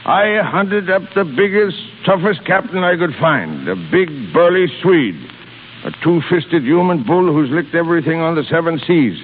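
Through a crackly, thin old radio drama recording comes an actor as a gruff old shipping boss in his sixties. His voice sits fairly high for a man and rings with reverb. He is impatient and bossy, barking salty sailor talk. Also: lively intonation, moderate pace, natural voice. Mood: blustering. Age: 60s. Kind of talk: 1930s radio comedy-drama acting. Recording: scratchy, narrow 1930s radio recording, reverberant.

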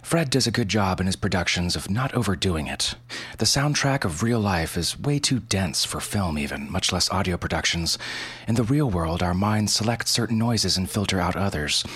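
A man's voice: deep voice, animated, normal speed, a bit bright, breathy edge. A man hosting a podcast, narrating scripted instructional material in a casual, irreverent way. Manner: casual, irreverent, mild swearing. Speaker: podcast host.

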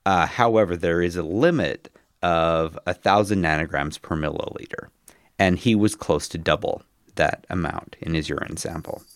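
Man, very deep bass voice, cold coming on, podcast host, halting with ums, dry close sound. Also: dry recording. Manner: hesitant, many ums and restarts. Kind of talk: casual podcast chat.

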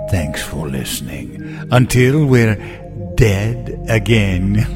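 A man voicing a gloating, theatrical horror show host with a drawn-out, menacing delivery. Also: lowish voice, in a large hall, full character voice. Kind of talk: scripted horror host outro. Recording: music under the voice.

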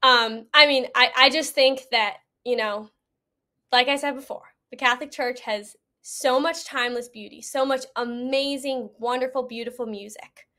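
A woman's voice: high-pitched, steady tone, moderate pace, pushed and bright. A young adult woman speaking casually and earnestly as an interview guest.

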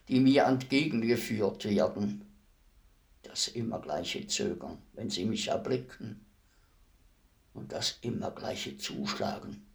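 An actor playing a weary old executioner in a radio play, speaking in a deliberate, ruminative manner with heavy pauses. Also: male voice, mid-range voice, creaky. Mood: bleak, somber. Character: weary old male executioner, fifty years imprisoned, brooding, humble.

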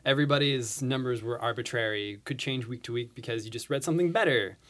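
A man talking casually as a podcast guest, halting and repeating words as he starts his sentences. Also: medium pitch, fairly bright tone. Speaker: podcast guest, comics blogger. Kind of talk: casual conversation.

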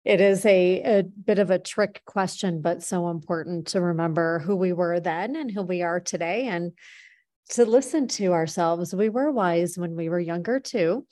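A woman's voice: fairly low pitch, moderate pace, dark tone. A woman hosting a conversational podcast, affirming her guest with enthusiasm. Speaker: podcast host, marketing CEO.

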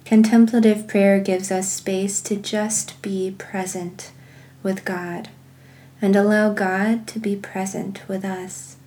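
A woman leading guided contemplative prayer and reading scripture in a measured, meditative manner with pauses. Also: medium pitch, level tone, dark tone. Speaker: guided prayer podcast host.

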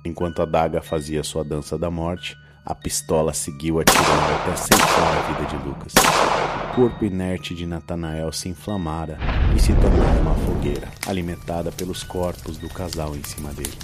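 A man's voice: extremely low pitch, steady tone, moderate pace, over loud music. A man narrating a scripted horror story in a dramatic storytelling style.

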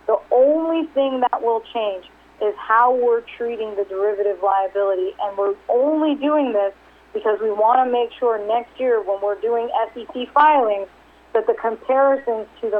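Businesswoman on a tinny phone line. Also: on the high side. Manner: businesslike, with frequent restarts and repeated words. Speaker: company executive. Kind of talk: earnings conference call presentation. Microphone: telephone.

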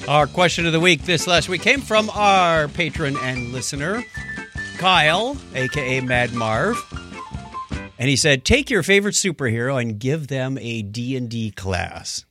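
A man's voice: medium pitch, expressive, a little slow, over music. A man co-hosting a podcast in a casual, chatty style. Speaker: podcast co-host.

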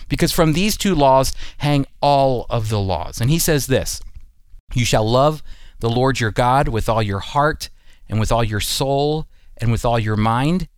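A man's voice: medium pitch, a little slow, clear, resonant tone, dry recording. Earnest man teaching the Bible.

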